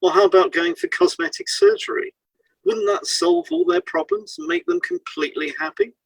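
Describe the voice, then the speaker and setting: A man co-hosts an educational podcast, reading from a script. His voice sits a little high and swings widely in pitch. It has a husky, rough edge. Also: fairly bright tone, no room sound, rough recording. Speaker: podcast co-host and hypnotherapist. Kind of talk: scripted educational narration, read aloud.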